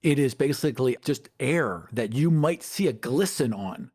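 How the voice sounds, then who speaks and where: A man's voice: mid-range voice, animated, moderate pace, darkish tone, slightly rough. A man hosting a casual tabletop gaming discussion, talking like a storyteller setting up scenarios. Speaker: podcast host.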